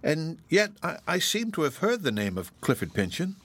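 A man reading aloud and voicing a cheerful, frank young girl from a village who speaks brightly and earnestly. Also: a little high, wildly swooping pitch, moderate pace, breathy edge, no room sound, voice pushed up, exaggerated voice. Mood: admiring.

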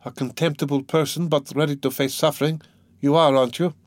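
A man's voice: fairly high pitch, steady tone, brisk, no room sound, pitched above the performer's own voice, natural voice. A man voicing an anxious, devoted young woman, an earnest and distressed sister, in a pleading, emotional way for an audiobook.

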